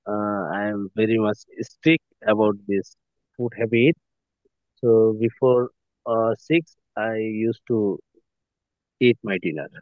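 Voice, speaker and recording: A man's voice: lowish voice, steady tone, no room sound. A man in his thirties from Bangladesh, calling in from a car to introduce himself politely, with many hesitations. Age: 30s. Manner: halting, many fillers, polite "sir". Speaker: student. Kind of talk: self-introduction in class. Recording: tinny phone line.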